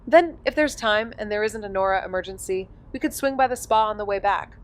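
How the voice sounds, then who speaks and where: An actress playing a blunt, teasing young amateur sleuth in an audio drama, casual and insistent in a mid-range voice. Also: female voice, mid-range voice, fairly quick, lightly acted. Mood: wry. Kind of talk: scripted audio drama dialogue and narration.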